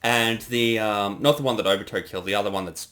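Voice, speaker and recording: Man, casual commentary, lowish voice, explanatory, thinking aloud. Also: quick pace, fairly bright tone. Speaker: co-creator giving commentary.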